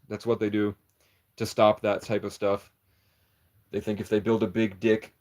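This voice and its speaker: A man's voice: on the low side, a little mellow. A man rambling through a livestream chat in a meandering, conspiratorial monologue, often breaking off and restarting.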